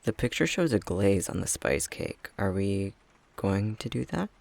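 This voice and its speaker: A young man playing a clumsy, self-conscious fox-shifter boyfriend in an intimate ASMR roleplay, speaking hesitantly with a soft, breathy, gravelly voice. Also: fairly low pitch, sing-song, unhurried, full character voice. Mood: self-deprecating, amused. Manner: hesitant, stammering, self-deprecating.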